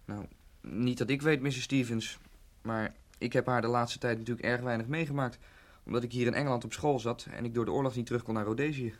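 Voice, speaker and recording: A man's voice: medium pitch, steady tone, fairly quick, few pauses, lightly acted. A man playing a dutiful young student son in a radio drama, respectful and a little hesitant. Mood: polite, subdued.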